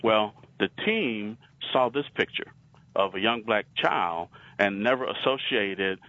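A man from Alabama with a Southern accent, giving a slide lecture in a measured way, with many pauses and restarts. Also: fairly low pitch, lively intonation, bright, forceful tone, no echo, muffled recording. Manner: measured, frequent uh fillers and restarts.

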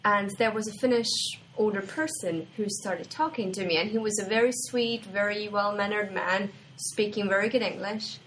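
A woman's voice: medium pitch, moderate pace, hardly any pauses, a bit bright, slight background noise, low-quality recording. A woman from Hungary, a business student, fluently telling an anecdote in a casual chat. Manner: storytelling, fluent.

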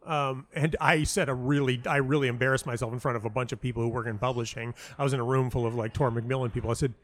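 A man with a medium-pitched voice speaks as a guest in a casual interview. He tells anecdotes with emphasis, acting out bits of dialogue and circling back over phrases to stress them. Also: fairly even intonation, fast talker, fairly bright tone. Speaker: interview guest, playwright and audio dramatist.

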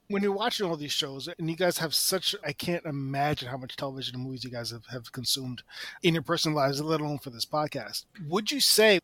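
Higher-pitched male co-host, dry sound. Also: sharp tone, no echo. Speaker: podcast co-host. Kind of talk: casual interviewing.

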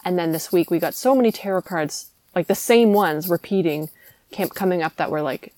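Young woman, podcast host, low voice, casual chatty talk, dry close sound. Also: moderate pace, dark tone, no echo. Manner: chatty, laughs often. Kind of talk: casual chat.

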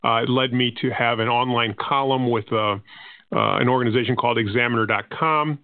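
A man from Panama whose first language is Spanish, a guest on an interview podcast, explaining his career in a measured way with frequent fillers and restarts. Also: medium pitch, fairly even intonation, dry recording. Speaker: guest, finance consultant. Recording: tinny phone-line audio.

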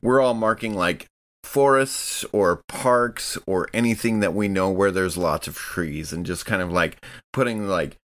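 A man's voice: sits low, measured pace, fairly bright tone. A man casually narrating his character's actions in a tabletop game, in a loose, rambling way.

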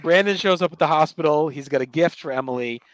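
A man's voice: fairly high pitch, quick pace, dry recording, low-quality recording. A man hosting a podcast, casually recapping a TV episode and retelling scenes with quoted dialogue. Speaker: podcast host.

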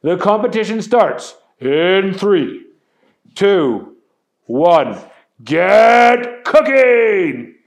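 A man voicing a loud, grinning male game show host with a booming showman delivery. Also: animated, slow. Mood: showy.

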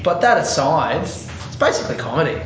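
A man's voice: a little high, sing-song, a little fast, fairly bright tone. Rambling, profane male comedian host. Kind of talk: casual interview chat. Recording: roomy dull recording with crowd noise.

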